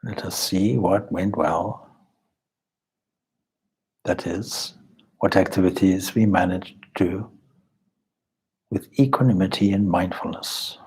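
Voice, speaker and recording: A man leading a guided meditation as a spiritual teacher, calm and measured, with deliberate pauses and a husky, breathy voice. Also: low voice, low-quality recording.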